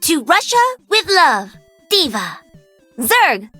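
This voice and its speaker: A woman's voice: high voice, big pitch swings, drawn-out words, sharp tone, faint music behind, full character voice. A woman voicing a perky, cocky young female pro-gamer mech pilot for a video game. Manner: bubbly, cocky, teasing.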